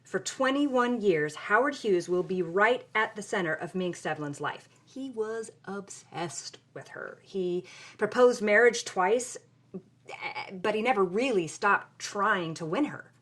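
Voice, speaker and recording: An actress as an insistent granddaughter in an audio drama, loyal and earnest. Her voice is bright and forceful, and she talks emphatically as an interview guest. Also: mid-range voice, animated, slow. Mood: earnest, assured. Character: loyal, insistent granddaughter of a dead movie star.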